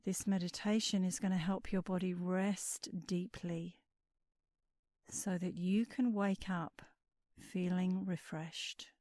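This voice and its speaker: A woman leading a guided sleep meditation in a soothing, unhurried manner, with breathy endings to her phrases. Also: on the low side, steady tone, a little mellow, no room sound.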